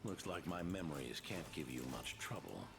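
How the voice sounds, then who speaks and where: A man's voice: extremely low pitch, lively intonation, normal speed, gravelly, full character voice. An actor playing an older male knight with a dry, reassuring manner. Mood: steady. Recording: streamed game audio with noise.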